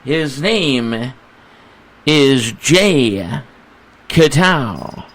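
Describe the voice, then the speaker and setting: An American man hosting a live radio interview with a deliberate, announcer-like delivery. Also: mid-range voice, very animated, a bit bright. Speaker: radio talk show host.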